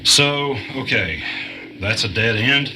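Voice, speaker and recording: A man's voice: medium pitch, expressive, slow pace, over music, rough recording. A man playing a weary young gamer in an audio drama, telling and living a mystery in a plain-spoken, understated way. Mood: uneasy. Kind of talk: audio drama narration and dialogue.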